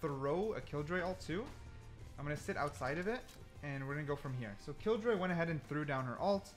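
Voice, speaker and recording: A man gives excited gameplay commentary with a very lively, swinging pitch. He thinks out loud in exclamations and repeats himself as he goes, with faint music behind him. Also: brisk. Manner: repetitive, exclamatory, thinking aloud. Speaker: gaming content creator testing game mechanics.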